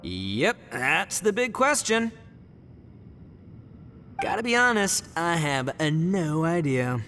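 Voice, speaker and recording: A man's voice: a little high, sing-song, takes it slowly, pushed and bright, slight background noise, exaggerated voice. A man playing a boisterous, good-hearted young oni gang boss in a video game, brash, casual and frank. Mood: casual concern.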